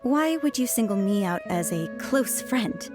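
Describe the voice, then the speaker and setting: A woman's voice: medium pitch, animated, a little slow, music in the background, lightly acted. A woman voicing a young female researcher who is lovesick, defensive and flustered. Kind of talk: video game voice acting.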